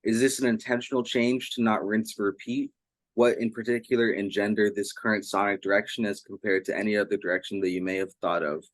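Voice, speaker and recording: A man's voice: fairly low pitch, fairly even intonation, a little fast, no room sound. A man interviewing someone casually and reading out fan questions.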